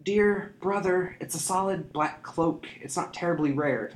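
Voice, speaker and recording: A man doing a wry young girl, high and dry. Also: measured pace, lightly acted. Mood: dry teasing. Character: frightened, wry young girl, the boy's sister. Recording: roomy echo.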